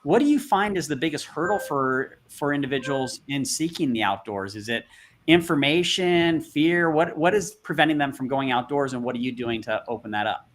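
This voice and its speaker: A man hosts an online panel over a video call, his questions casual and rambling with many restarts. His voice sits at an ordinary middle pitch. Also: expressive, normal speed. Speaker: panel moderator.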